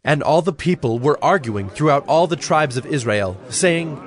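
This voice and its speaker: A man's voice: a little high, animated, normal speed. A man narrating scripture in a scripted, dramatic audiobook style. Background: music.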